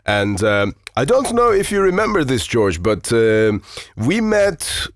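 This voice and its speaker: A man's voice: mid-range voice, very animated, measured pace, no echo, studio quality. A man from Iran hosting a podcast, reading a scripted intro and then chatting casually with his guest, swearing freely and using lots of fillers. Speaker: podcast host.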